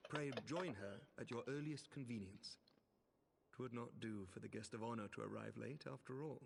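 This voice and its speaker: A man's voice: mid-range voice, moderate pace, vocal fry, slight background noise, natural voice. An actor playing a courteous, earnest male ally in a fantasy video game, with formal, archaic phrasing.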